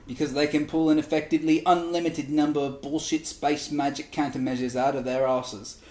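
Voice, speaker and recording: A man reading a humorous online thread aloud in an animated way. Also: mid-range voice. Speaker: reader of online posts. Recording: echoey, roomy sound.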